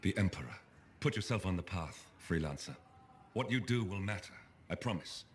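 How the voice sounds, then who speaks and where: Low, cryptic imperial agent. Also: male voice, animated, slight background noise. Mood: secretive, persuasive. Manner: guarded, measured, insinuating. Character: cryptic, secretive man scouting fighters for the Emperor. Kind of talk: video game dialogue.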